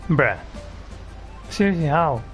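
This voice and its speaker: A man's voice: on the high side, animated, takes it slowly, a bit bright, muffled recording, soft background music. A man giving casual, slangy, grumbling commentary as he plays a video game. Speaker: gaming streamer.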